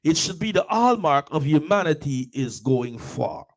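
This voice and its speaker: A man's voice: fairly high pitch, animated, moderate pace. Guyanese man preaching motivation. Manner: preachy, repetitive, builds with rising exclamations; frequent "oh yes" interjections. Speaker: host and motivational speaker. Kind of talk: live motivational talk.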